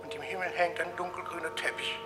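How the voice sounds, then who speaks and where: An actor playing an eerie plotter who calmly reports preparations for doom in a radio drama. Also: male voice, sits high, fast, close to an everyday voice. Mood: detached, ominous. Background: music.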